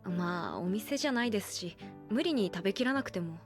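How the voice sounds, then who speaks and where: A woman's voice: medium pitch, expressive, a little fast, close to an everyday voice. Actress doing awkward distrustful young woman, polite but blunt, anime audio drama, music behind. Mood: polite, casual. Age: young adult.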